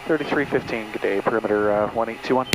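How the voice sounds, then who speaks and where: A man's voice: lowish voice, quick pace. A man working as an airline first officer, giving clipped, procedural but easygoing checklist and radio calls over an aviation headset. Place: small turboprop cockpit in flight. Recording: noisy aircraft intercom feed with engine drone.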